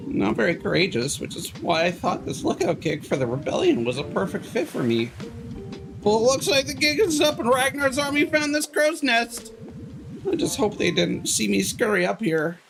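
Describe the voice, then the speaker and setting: A man's voice: high-pitched, very animated, moderate pace, vocal fry, over music, voice pushed up, full character voice, poor audio. A man voicing a cowardly rebel lookout from a video game, in a nervous, cartoonish manner. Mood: timid, fretful.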